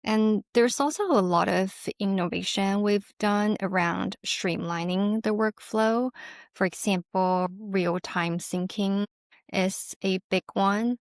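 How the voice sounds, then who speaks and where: A woman's voice: mid-range voice, takes it slowly, dry recording, clean studio sound. A woman speaking as an interview guest, explaining a product conversationally in an agreeable, affirming way. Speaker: company representative, interview guest.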